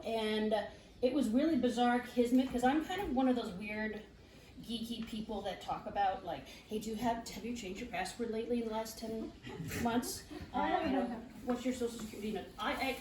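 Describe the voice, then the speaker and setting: Woman, chatty talk, somewhat high voice, distant mic. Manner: chatty, with many fillers and restarts. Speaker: identity theft specialist. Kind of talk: speaking to a live group. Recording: roomy, distant mic, clear background noise.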